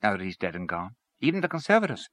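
A man's voice: on the low side, sing-song, quick pace, a bit bright, dry recording. A man voicing a conciliatory political canvasser with a coaxing, peaceable way of talking, in a story reading. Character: O'Connor, conciliatory Irish male political canvasser.

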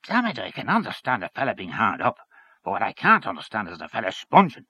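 A man's voice: lowish voice, huge pitch swings, fast, pushed and bright, husky, exaggerated voice. A man voicing a blustering, scornful middle-aged man who grumbles and gossips. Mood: grumbling.

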